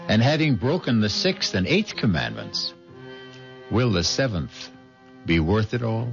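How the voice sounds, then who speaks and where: A man hosting a radio mystery series, narrating from a script with portentous, sardonic theatricality. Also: fairly low pitch. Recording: dull vintage radio recording, music behind.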